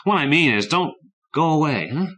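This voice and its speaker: A young boy full of hurt and fire, as a male narrator puts him on. His voice leaps high and bright when he shouts at the friend who left. Storybook reading, forceful and keen. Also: lively intonation, moderate pace. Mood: earnest. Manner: cries out in raw high anguish. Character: anguished young boy, fierce and lonely.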